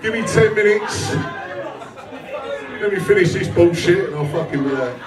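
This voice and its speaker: A man's voice: high voice, lively intonation, moderate pace, crowd noise. A man doing live stand-up comedy, blunt and sweary, chatting with the audience in a self-deprecating way. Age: 40s. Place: small indoor comedy venue with a live audience. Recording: roomy live club, distant mic, audience noise.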